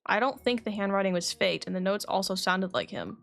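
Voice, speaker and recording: A woman's voice: mid-range voice, fairly quick, gravelly, a bit bright, faint music behind. A woman streaming a game, reading its dialogue aloud and adding casual commentary, correcting herself as she goes. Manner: self-correcting as she reads. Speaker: game livestreamer.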